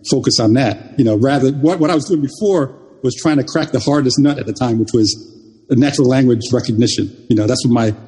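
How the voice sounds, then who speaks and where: A man's voice: mid-range voice, a little fast, dark tone, slightly rough, big-room sound. An older man from Jamaica, a native Jamaican speaker, recalling his career in an interview with many restarts and repeated words. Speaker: guest. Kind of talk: reminiscing interview talk.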